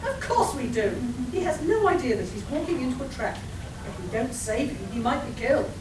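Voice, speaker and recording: A woman's voice: sits high, sing-song, fairly quick. A woman playing a plucky, determined young adventuress in a live radio play, bold and emphatic. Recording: echoey, noisy hall recorded from far off.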